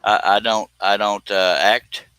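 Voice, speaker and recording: Bright-voiced, low-pitched man in his fifties. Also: little pitch movement, a little slow, smooth, no echo. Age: 50s. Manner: folksy, joking, teasing. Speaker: live stream host. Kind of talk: casual live stream chat.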